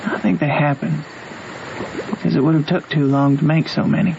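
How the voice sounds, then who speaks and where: A man's voice: fairly high pitch, fairly even intonation, normal speed, vocal fry. A man playing a curious, thoughtful boy with a Southern accent, chatting in folksy style in a radio drama. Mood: musing. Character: Huck, curious musing Southern boy on a raft. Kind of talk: old-time radio drama acting. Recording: muffled noisy old radio recording.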